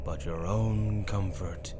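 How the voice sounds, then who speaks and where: Slow, low-voiced demon tempter played by a man. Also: steady tone, background noise. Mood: soothing, seductive. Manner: deliberate, persuasive, theatrical, drawn-out phrasing. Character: calm, seductive demon tempter, philosophical and persuasive villain. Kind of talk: dark fantasy audio roleplay monologue, ASMR. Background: music.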